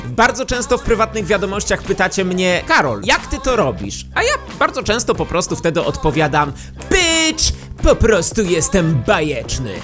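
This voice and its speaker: A man's voice: sits high, huge pitch swings, moderate pace. A man in his thirties presenting a scripted vlog to camera on a close studio microphone. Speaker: YouTube host. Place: small decorated home studio. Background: faint music.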